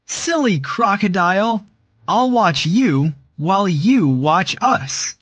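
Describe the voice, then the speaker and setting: A woman's voice: medium pitch, expressive, takes it slowly. A woman voicing a clever, confident male monkey in a children's story, assured in manner. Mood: mocking.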